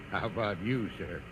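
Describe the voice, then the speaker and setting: An actor playing a loyal, humble crewman with a hesitant, drawling delivery in a 1950s radio drama. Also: lowish voice. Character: loyal, humble crewman facing death with an officer. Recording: very noisy old radio recording.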